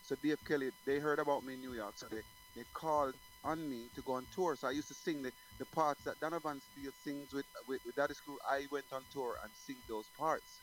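A man's voice: on the high side, wildly swooping pitch, fairly quick, few pauses, fairly bright tone, slight background noise, low-quality recording. A middle-aged man from Jamaica, a guest singer talking casually in an interview, rambling with many restarts.

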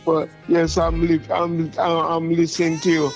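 Even-toned male radio caller. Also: on the high side, moderate pace. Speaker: radio call-in listener. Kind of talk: casual call-in chat. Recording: faint music behind radio call. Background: music.

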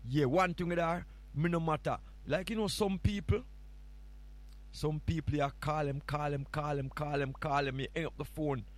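A man with a Jamaican accent sits in as host of a morning radio show, talking in a relaxed, playful way. He rambles and teases, drifting from story to story, and his voice sits slightly higher than usual. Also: normal speed. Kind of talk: casual morning show chat.

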